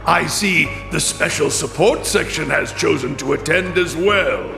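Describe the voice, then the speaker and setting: A calculating imperial chancellor from a video game. His voice is gravelly and rather high for a man, and he delivers formal, commanding courtesies at a deliberate, slow pace. Every phrase is measured and imperious. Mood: coolly courteous. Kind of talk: dramatic video game cutscene acting. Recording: echoey hall reverb, music behind. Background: music.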